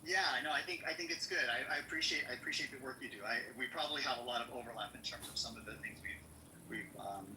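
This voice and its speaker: A man in a casual group discussion who talks thoughtfully, in halting phrases with many restarts and fillers. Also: medium pitch, pushed and bright, slight background noise. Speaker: psychology student, discussion participant. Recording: echoey room.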